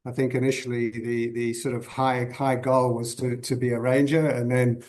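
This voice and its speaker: A man in his fifties from Zimbabwe, a guest on a podcast interview, answering thoughtfully with many pauses and restarts. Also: mid-range voice, flat delivery, a little mellow. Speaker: guest conservationist.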